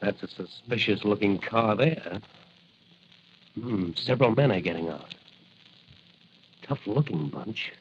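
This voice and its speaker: An actor playing an earnest, resolute young cop who fights crime in a mask, in deliberate, declamatory old radio style. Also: male voice, lowish voice, lively intonation, slight background noise. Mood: wary, intent. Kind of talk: 1940s radio adventure acting. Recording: muffled vintage radio recording.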